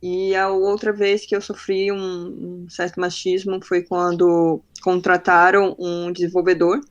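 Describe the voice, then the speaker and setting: A woman's voice: fairly low pitch, measured pace, highs cut off. Frank woman telling stories on a podcast. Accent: faint northern Brazilian Portuguese. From northern Brazil. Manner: frank, animated storyteller acting out quoted dialogue. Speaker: podcast guest, marketing professional. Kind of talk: casual interview conversation.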